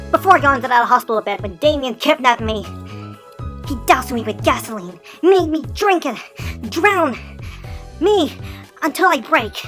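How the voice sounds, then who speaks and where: A woman voicing a traumatized young male Dalmatian pup in an emotional cartoon fan drama, halting and anguished. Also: high voice. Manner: anguished, halting, swinging between hushed and loud.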